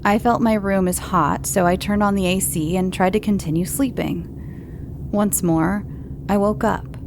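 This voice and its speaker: A woman podcast host reading listener horror stories aloud in a calm, unhurried storytelling manner. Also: on the low side, gravelly, background noise.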